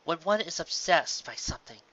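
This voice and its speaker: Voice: mid-range voice, lively intonation, fairly quick, a bit bright. A reader narrating a scripted fan fiction story. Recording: slightly dull, lo-fi recording.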